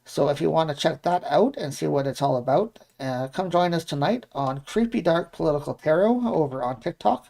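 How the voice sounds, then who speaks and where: A man's voice: on the high side, lively intonation, normal speed, creaky, hardly any pauses, mellow. A man with a Canadian accent co-hosting a live podcast in a casual, chatty style. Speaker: podcast co-host and producer. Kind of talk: casual live show chat.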